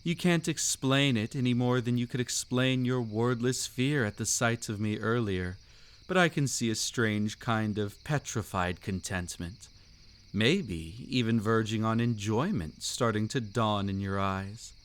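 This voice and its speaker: Man playing a slow, seductive vampire count. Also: on the low side, clean tone, lightly acted. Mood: coaxing, seductive. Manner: velvety, taunting, theatrically aristocratic, drawn-out delivery. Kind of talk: scripted romantic roleplay audio, intimate monologue.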